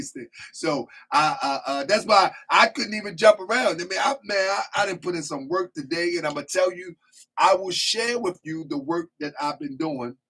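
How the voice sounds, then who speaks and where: A man hosting a casual live call-in show, hoarse and losing his voice, rambling with stammering restarts. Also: a little high, a bit bright. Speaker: host.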